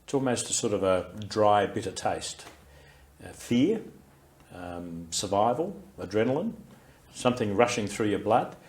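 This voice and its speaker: An older Australian man with a very low, deep voice talks through his memories in an interview. He speaks in a reflective, unhurried way, often pausing on fillers and restarting his sentences. His voice is loud and carries. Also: lively intonation. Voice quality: loud, carrying voice. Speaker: interviewee, war veteran. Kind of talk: recorded oral history interview.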